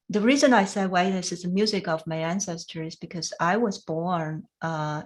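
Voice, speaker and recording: Middle-aged woman, Chinese accent, low-ish voice, gentle and hesitant testimony. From China. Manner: soft-spoken, halting, many fillers and restarts. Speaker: panel speaker. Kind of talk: reflective personal testimony.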